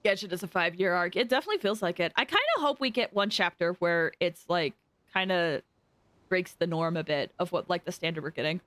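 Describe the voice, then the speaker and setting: A woman's voice: lowish voice, a little fast, a bit bright, no echo. A young woman chatting casually and effusively as a game livestreamer, often exclaiming. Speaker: game streamer. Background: game music.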